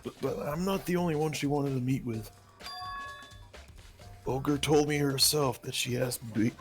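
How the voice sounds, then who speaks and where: A man's voice: mid-range voice, unhurried, soft background music, close to an everyday voice. A man voicing a goofy, evasive young slacker who stammers, in an amateur video game dub. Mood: surprised, goofy.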